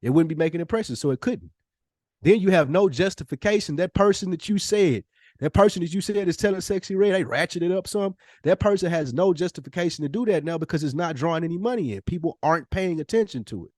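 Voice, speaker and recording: Casting: man, fairly high-pitched, emphatic and sweary podcast debater, very dry sound. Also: animated, quick pace, darkish tone, no room sound. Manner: emphatic, argumentative, slangy, swearing. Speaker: podcast co-host. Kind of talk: casual debate, opinionated podcast talk.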